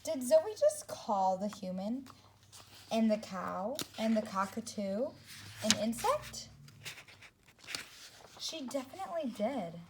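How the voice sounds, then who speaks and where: Expressive woman reading aloud, unhurried and lively. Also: on the high side, a little hiss or hum, poor audio. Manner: expressive, deliberate, addressing young children. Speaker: teacher reading aloud to second graders. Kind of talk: read-aloud storytelling with asides to children.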